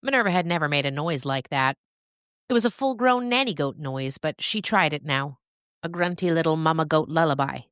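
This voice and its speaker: A woman narrating a scripted story expressively, with dramatic pauses. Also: low-pitched, lively intonation, fairly bright tone, dry recording, studio quality.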